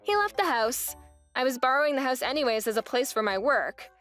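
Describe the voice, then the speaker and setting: Wronged young wife, played by a woman, very high voice, indignant, expressive, manga dub narration, faint music. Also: brisk. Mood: matter-of-fact. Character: wronged young wife, exasperated yet resolute, telling her story.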